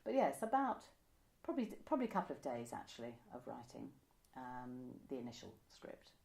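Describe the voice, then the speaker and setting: A woman's voice: rumbling low, very animated, brisk, vocal fry, a little mellow. An older woman, reminiscing casually in an interview in a chatty, self-correcting way. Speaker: interviewee, amateur pantomime writer. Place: indoor room by a window, seated.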